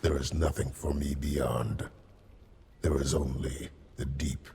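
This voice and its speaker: A man's voice: rumbling low, steady tone, slow, gravelly, dark tone, a little hiss or hum, heavily acted. A man playing a brooding undead killer of the sea in a fantasy game, terse and coldly menacing. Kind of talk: cinematic fantasy game acting.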